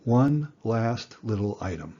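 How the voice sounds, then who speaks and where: A man in his sixties giving an educational lecture in plain words, with a deliberate, folksy manner. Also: on the low side, animated, mellow, highs cut off. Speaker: instructor.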